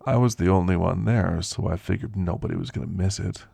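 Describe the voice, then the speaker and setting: A man playing an elderly werewolf who reminisces in a folksy, gentle, unhurried way, in an ASMR roleplay with a gravelly, husky voice. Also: low-pitched, dry recording, heavily acted. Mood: wistful, reflective. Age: older. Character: elderly male werewolf, reflective, gentle storyteller recounting his past.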